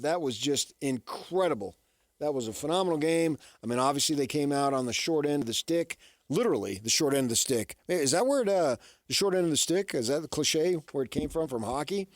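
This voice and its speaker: A man's voice: a little high, no room sound, clean studio sound. A man hosting a sports radio show, talking casually in a folksy, conversational way and often tossing out rhetorical questions.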